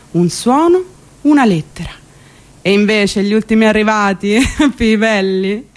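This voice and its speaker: A woman with a fairly high voice and a Roman accent performs a first-person monologue. Her delivery is theatrical and colloquial, with an exasperated comic edge. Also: darkish tone. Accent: Roman Italian. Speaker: stage actor performing a monologue.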